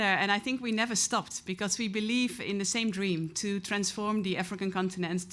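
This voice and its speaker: A woman from the Netherlands, a government official, speaking from the heart at an event in a warm, rambling way. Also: medium pitch. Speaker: government official giving remarks. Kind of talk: informal speech from the stage. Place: event hall.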